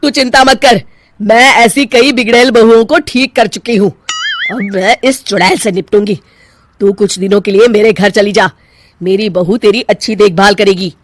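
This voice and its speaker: A woman voicing a bossy, sharp-tongued older mother-in-law in a cartoon, scolding and sarcastic. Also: medium pitch, steady tone, moderate pace, natural voice. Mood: indignant, commanding. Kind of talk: Hindi cartoon voice acting.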